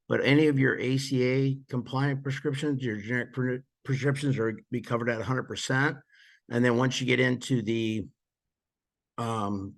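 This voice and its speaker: A man casually walking through insurance plan details, a little hoarse and losing his voice, with frequent fillers and restarts. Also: mid-range voice. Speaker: presenter explaining insurance plans. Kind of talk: casual explanatory walkthrough.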